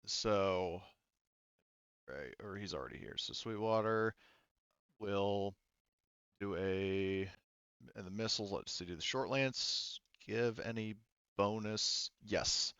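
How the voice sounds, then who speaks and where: A man running a tabletop game casually as game master, thinking aloud haltingly as he works through rules and rolls. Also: lowish voice, fairly bright tone, clean tone, dry recording, highs cut off. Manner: halting, thinking aloud while rolling dice.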